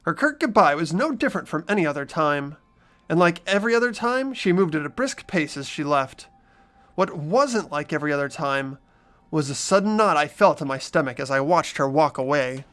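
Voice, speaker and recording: A man's voice: on the high side, expressive, normal speed, a bit bright. A man voicing an earnest, anxious teenage schoolboy who narrates his own story in a visual novel game, expressively. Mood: reflective narration.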